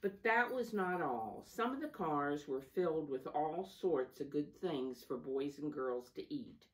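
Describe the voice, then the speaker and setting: A woman reading a storybook aloud to young children as a teacher, deliberate and instructive, stressing key words and adding simple asides. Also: deep voice, expressive. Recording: voice distant from the microphone.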